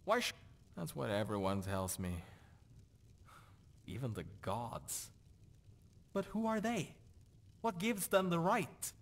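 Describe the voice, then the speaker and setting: A man's voice: on the high side, big pitch swings, takes it slowly, long pauses, exaggerated voice. An actor playing a gloomy, disillusioned nobleman in a fantasy video game, brooding and questioning himself. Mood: doubtful, frustrated. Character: dour, disillusioned Nordic nobleman doubting his purpose. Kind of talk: video game character dialogue.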